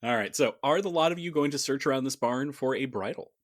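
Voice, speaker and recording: A man's voice: mid-range voice, animated, quick pace, no echo, clean studio sound. A man running a tabletop game as game master, narrating and bantering casually.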